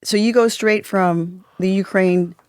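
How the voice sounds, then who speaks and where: A woman's voice: medium pitch, fairly even intonation, measured pace, smooth, dry recording, studio quality. A woman hosting her own podcast, asking her guest questions with enthusiasm. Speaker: podcast host. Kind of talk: casual interview chat.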